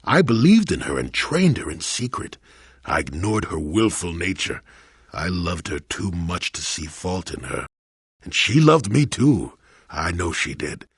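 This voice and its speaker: Husky, gruff old man. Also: low-pitched, huge pitch swings, a little slow, no echo, clean studio sound, exaggerated voice. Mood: wistful, wry. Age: older. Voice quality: gravelly, husky. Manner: wry, rambling, dramatic storyteller. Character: gruff, rueful, cantankerous old male former Jedi. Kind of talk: scripted video game voice acting.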